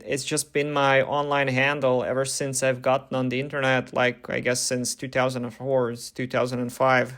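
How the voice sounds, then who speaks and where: Casual young man, Croatian native. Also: medium pitch. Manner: relaxed, chatty, many fillers like "like". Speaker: YouTube channel host. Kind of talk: casual talk to camera, Q&A.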